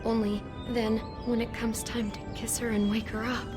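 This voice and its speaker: An anxious, kind young woman from a video game, as an actress voices her, speaking in a somewhat high voice. She talks in a worried, expressive way and sometimes stumbles over her words. Music plays clearly behind her. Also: normal speed, close to an everyday voice. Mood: thoughtful. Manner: worried, expressive, stammering restarts. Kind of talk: video game cutscene acting.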